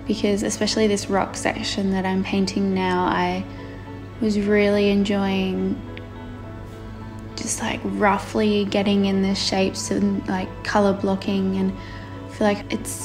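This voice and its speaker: Chatty young woman with a flat voice. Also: mid-range voice. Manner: chatty, laughs often, relaxed. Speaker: artist narrating a painting video. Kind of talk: casual voice-over storytelling. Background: faint background music.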